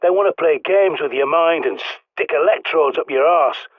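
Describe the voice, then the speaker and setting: An actor playing a gruff, bossy British survivalist who guides a player by radio, speaking bluntly and swearing freely. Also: male voice, on the high side, normal speed, no room sound. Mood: dry, scornful. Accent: British English. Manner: barking orders over a radio. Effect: radio filter. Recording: tinny dry radio-line sound.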